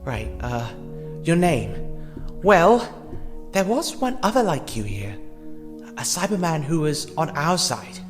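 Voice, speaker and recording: A man acting a compassionate, hesitant character in a fan audio drama, earnest and halting in his delivery. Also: a little high, sing-song. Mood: hesitant, tender. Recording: long reverb, music underneath.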